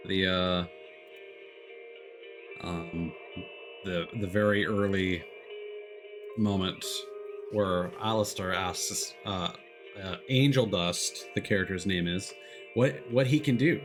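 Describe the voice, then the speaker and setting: A man's voice: deep voice, takes it slowly, pauses often, music in the background. A man streamer chatting casually and rambling with many restarts while a game loads.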